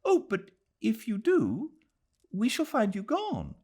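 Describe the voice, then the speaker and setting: A man performs a young British woman from a classic novel. The voice sits high and lilts widely, eager, polite and a little naive. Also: wildly swooping pitch, slow, darkish tone, heavily acted. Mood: surprised.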